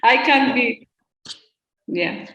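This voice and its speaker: A woman parliamentarian speaking halting, non-native English at a roundtable, with many pauses and fillers. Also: a little high, expressive, pushed and bright, echoey room. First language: not English. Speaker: Afghan parliamentarian in exile. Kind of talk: roundtable remarks.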